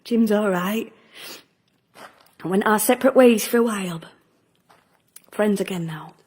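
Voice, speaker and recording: A woman playing a guarded, defiant young woman in a naturalistic audio drama, with a husky voice. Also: mid-range voice, measured pace, a little mellow. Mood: guarded, casual.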